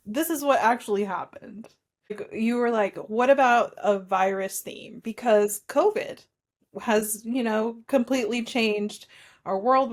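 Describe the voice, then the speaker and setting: A woman co-hosting a casual film discussion, explaining things with playful banter. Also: a little high. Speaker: podcast co-host.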